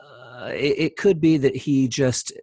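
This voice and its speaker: A man's voice: medium pitch, slow pace, gravelly, warm tone, breathy edge, no room sound. A man teaching a Bible story in an informal, conversational way, thinking aloud as he comments on it. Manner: conversational, thinking aloud, acting out imagined dialogue.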